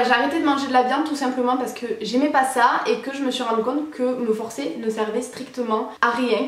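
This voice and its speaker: A young woman from France answering viewer questions in a casual vlog, chatty and full of filler sounds. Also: a little high. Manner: chatty, many "euh" fillers. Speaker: vlogger. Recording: echoey room, voice a bit far from mic.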